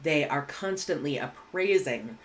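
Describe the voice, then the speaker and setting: A woman, teaching English vocabulary in a clear, measured way with casual asides, recorded at home on a webcam. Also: low voice, animated. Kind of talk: vocabulary lesson. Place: home room indoors.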